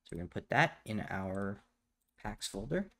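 A man hosting a step-by-step software tutorial in a casual, plainspoken way, recorded indoors at a computer. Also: on the low side, big pitch swings, moderate pace. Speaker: tutorial host. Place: indoors at a computer, voice over screen capture.

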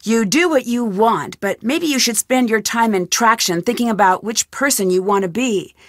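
A woman's voice: a little high, normal speed, no room sound, natural voice. An actress voicing a curious young female detective in a video game, asking direct, probing questions. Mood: firm. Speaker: game protagonist. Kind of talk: studio video game voice lines. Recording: dry studio recording.

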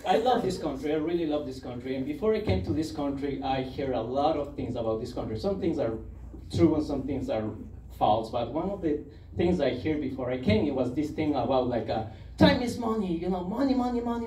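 A man in his thirties from Ecuador with a Spanish accent, doing an animated, self-mocking stand-up set on a club stage with a handheld mic. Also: a little high, fairly quick, slight background noise. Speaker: stand-up comedian. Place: comedy club stage, indoors. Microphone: handheld mic, filmed from the audience. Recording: roomy club sound taken from far off, loud ambience.

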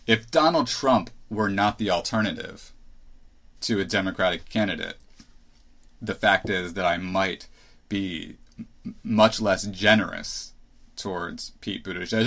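A man's voice: lowish voice, a bit bright. A man in his thirties hosting a solo podcast, thinking aloud with restarts and asides as he gives his opinions. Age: 30s. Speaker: podcast host.

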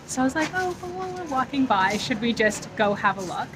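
A woman's voice: high-pitched, big pitch swings, a little fast. Woman, thirties, travel vlogger, chatty and reflective, noisy outdoor take. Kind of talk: casual travel vlog. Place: outdoors at temple grounds. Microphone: phone camera at arm's length.

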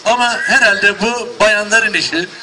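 Man, high voice, mayor, outdoor opening speech, handheld mic, noisy outdoor recording. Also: a little slow. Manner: folksy, joking, ends with blessings.

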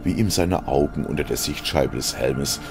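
A man's voice: rumbling low, moderate pace. A man reading scripted science fiction as a narrator, in a dramatic style. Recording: music behind the narration. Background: music.

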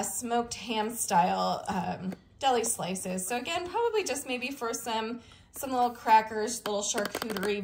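A woman's voice: fairly high pitch, slight background noise. A young woman chatting casually as she shows off her groceries, upbeat, with fillers and small laughs. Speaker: vlogger showing a grocery haul. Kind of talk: casual chat. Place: indoors at a kitchen table.

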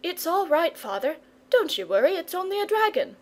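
A woman voicing a quiet, self-assured, bookish young boy who speaks matter-of-factly in a storybook. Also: fairly high pitch, animated. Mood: sleepy reassurance. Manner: quiet, unhurried, matter-of-fact.

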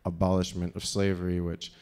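A man in his thirties with a deep voice, giving a rambling live talk on a dark stage into a handheld microphone, full of fillers. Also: completely flat delivery, a little fast, clean tone. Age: 30s. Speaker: speaker.

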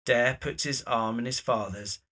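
A man's voice: mid-range voice, steady tone, moderate pace, pushed and bright. A man narrating scripted stage directions for an audio drama.

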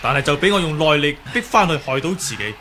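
An actor with a Hong Kong Cantonese accent playing a righteous, composed young martial-arts hero in a 1980s radio drama, speaking deliberately and accusingly. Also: male voice, on the high side, lively intonation, a crowd in the background, close to an everyday voice. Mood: firm, controlled. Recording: faint hiss on old radio recording.

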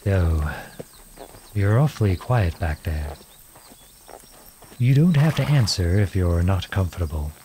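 A man playing a gentle, chivalrous young outlawed prince in a romantic fantasy audio roleplay, speaking in formal storybook phrases. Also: low voice, sing-song, unhurried, long pauses, a little hiss or hum. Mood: gently curious. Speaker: ASMR roleplay voice actor. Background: horse hooves clip-clopping.

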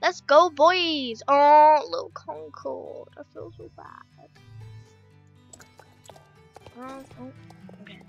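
A young woman casually commenting on a game while reading its dialogue aloud, rambling and croaky from just waking up. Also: high-pitched, slow, highs cut off, soft background music. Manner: chatty, rambling, reads game text aloud. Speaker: gaming YouTuber.

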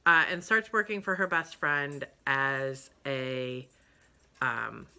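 A woman's voice: very deep voice, fairly even intonation, takes it slowly, pushed and bright. A woman in her fifties chatting casually about books she read, rambling with lots of ums and uhs, recorded on a webcam in her living room. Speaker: book vlogger. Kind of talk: casual book review chat. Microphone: webcam at desk distance.

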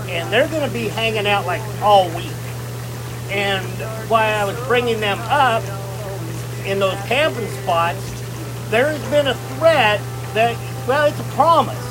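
A man in his fifties giving a casual, rambling promotional chat with a folksy, joking manner, recorded outdoors on a phone set a short distance away. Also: very high-pitched. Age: 50s. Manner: folksy, jokey, says y'all. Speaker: music festival organizer and vlogger. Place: outdoors in a bubbling hot tub.

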